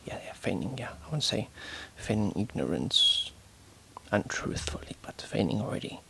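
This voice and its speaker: A man's voice: on the low side, sing-song, moderate pace, creaky, low-quality recording. A man whispering as he reads and edits a story aloud, with hesitant fillers and self-corrections. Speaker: writer editing a story aloud. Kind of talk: whispered ASMR story editing.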